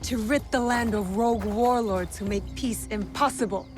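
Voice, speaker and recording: A woman's voice: mid-range voice, moderate pace, music in the background, natural voice. A woman voicing a resolute young female assassin in a video game, terse, solemn and stern. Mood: cold, righteous. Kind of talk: dramatic video game acting.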